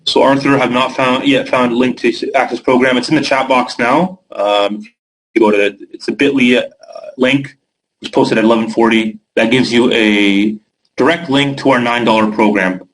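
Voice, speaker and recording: A man's voice: mid-range voice, steady tone, moderate pace, poor audio. A young man from Canada with a Canadian accent hosting a live trading webinar, reading out viewer questions by name and answering them casually with filler words.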